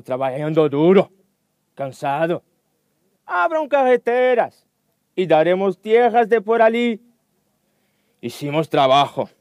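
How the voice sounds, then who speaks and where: A man playing a weary landless peasant, testifying plainly and haltingly in a radio drama. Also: on the high side, no echo, studio quality.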